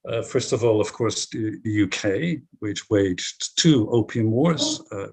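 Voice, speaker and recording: A middle-aged Dutch-native man speaking on a discussion panel, his voice low-pitched and a bit husky. He argues his points bluntly and emphatically. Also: expressive, a little slow.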